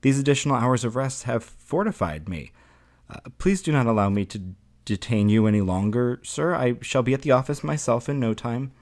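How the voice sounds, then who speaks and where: A man's voice: mid-range voice, animated, moderate pace, darkish tone, voice pushed up, lightly acted. Audiobook creature role, read by a man: a flustered insect-man clerk, deferential and formal, pleading his case in a rush. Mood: anxious, pleading. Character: anxious, pleading man turned insect, over-polite employee.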